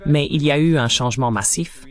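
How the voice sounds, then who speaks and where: A man delivering a voice-over translation of political commentary in a measured, explanatory style. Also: a little high.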